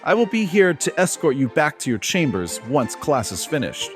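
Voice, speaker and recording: Royal chamberlain creature played by a man: patient, deferential, formal storybook servant, fairly high male register, very animated intonation. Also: moderate pace, faint music behind. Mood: courteous, reassuring. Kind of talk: scripted audiobook character dialogue.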